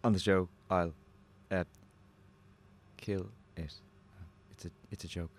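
Nervous, low-voiced man, hesitant. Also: steady tone, slow, slight background noise. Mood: awkward, meek. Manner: hesitant, meek, stammering. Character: nervous, polite male first-time radio contestant. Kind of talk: naturalistic audio drama acting.

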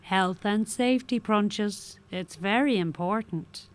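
A woman's voice: medium pitch, slow, lightly acted. An actress playing a cool, knowing woman in an audio drama, with dry, understated, needling delivery. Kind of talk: naturalistic audio drama dialogue.

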